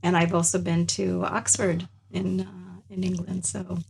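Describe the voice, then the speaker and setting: A woman's voice: on the low side, flat delivery, measured pace, gravelly, crowd noise. A woman hosting an interview, talking in a chatty, hesitant way full of fillers. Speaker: interview host. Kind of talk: conversational interview.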